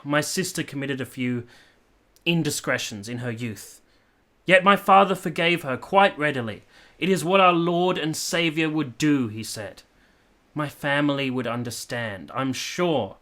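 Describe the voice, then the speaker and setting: A man's voice: fairly high pitch, unhurried, sharp tone. An actor playing an ardent, stubborn young man in love in a period radio drama, earnest and pleading with formal phrasing. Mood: persuasive. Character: ardent, stubborn young male lover from a village.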